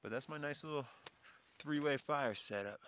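A man's voice: a little high, moderate pace, a bit bright. A man narrating a casual solo hiking vlog in a chatty, wonder-struck way, speaking outdoors into a handheld camera. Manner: chatty, exclaiming in wonder, often chuckling. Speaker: solo hiker vlogging. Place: outdoors in windy, open mountain terrain. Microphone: handheld phone or camera while walking. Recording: noisy outdoor recording with clicks.

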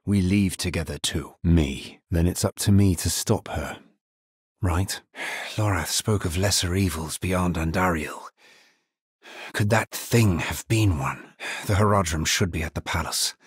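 Fantasy game necromancer hero: grave and understated, husky tone, very dry close sound. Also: male voice, low voice, a little slow, dry recording, heavily acted. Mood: grave, resolute. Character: grave, world-weary male necromancer hero. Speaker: video game voice actor. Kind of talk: dramatic fantasy game dialogue lines.

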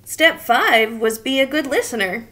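A woman's voice: sits high, animated, unhurried, fairly bright tone. A woman in her thirties reading a children's story aloud expressively and asking the young listeners questions. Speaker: children's read-aloud reader. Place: small indoor room.

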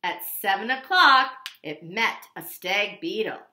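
A woman putting on a high, gushing guest reader. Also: animated, slow, pushed and bright, heavily acted. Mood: storytelling. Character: cheerful, excited guest reader for children. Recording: echoey room.

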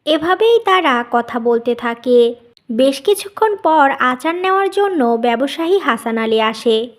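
A woman's voice: high voice, lively intonation, a little slow. A woman narrating a scripted cartoon family story.

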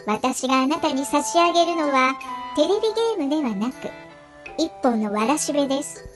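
A woman voicing a calm, wise goddess of mercy in a cartoon storybook, speaking politely and with measured admonishment. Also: high-pitched. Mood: serene admonishing. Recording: music playing behind the voice.

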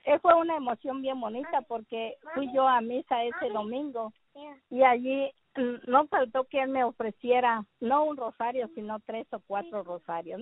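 A woman's voice: fairly high pitch, no room sound. A middle-aged woman from Jalisco, Mexico, telling her story by phone in a hesitant way with many fillers. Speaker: caller giving testimony. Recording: dry, tinny phone line.

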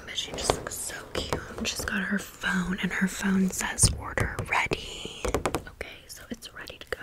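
Female ASMR host, breathy unvoiced whisper, unhurried pace, chatty sassy commentary. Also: mid-range voice, little pitch movement. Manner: casual, gushing, sassy slang. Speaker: ASMR video creator. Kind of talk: ASMR doll haul, rambling commentary.

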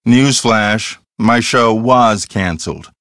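A man's voice: lowish voice, slow pace, a bit bright, no room sound, clean studio sound. An actor playing an earnest, self-assured male ghost hunter in a mystery video game, explaining things in a matter-of-fact, conversational way. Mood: smug, casual. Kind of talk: acted video game dialogue.

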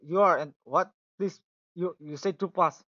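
A young man with a Russian accent, hosting a casual joking chat on a voice call, stammering and excitable. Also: a little high, moderate pace, dry recording, muffled recording. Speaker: channel host. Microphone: phone on a voice call.

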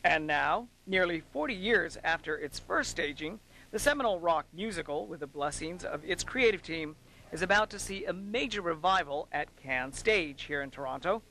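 A man delivering a scripted arts news report as a correspondent, in a measured, polished way. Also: a little high, lively intonation, gravelly, bright, forceful tone, slight background noise, poor audio.

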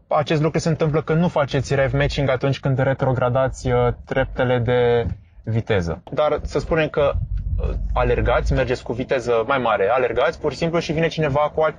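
A man calmly explaining step by step while driving, with frequent hesitations, recorded inside a moving car. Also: medium pitch, lo-fi sound, slight background noise. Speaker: driving instructor vlogger.

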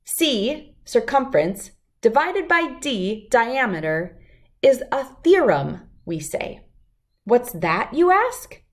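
A middle-aged woman reading a rhyming picture book aloud to children in an expressive, deliberate, lilting way. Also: a little high. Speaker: children's read-aloud host.

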